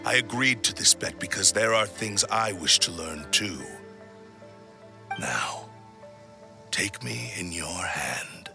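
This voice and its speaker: A man's voice: sits low, expressive, slow, vocal fry, long pauses, music in the background, full character voice. A man voicing a proud, bitter spirit of a cursed sword in a video game, speaking in a theatrical, haughty, menacing drawl. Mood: cold, menacing. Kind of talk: dramatic video game dialogue.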